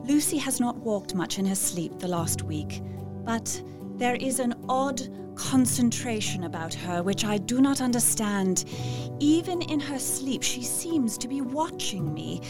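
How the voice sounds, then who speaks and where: A woman's voice: fairly high pitch, lively intonation, measured pace. An actress playing a worried, devoted young woman reading out her journal in a period audio drama, earnest, reflective and precise. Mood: anxious, puzzled. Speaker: voice actor. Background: music.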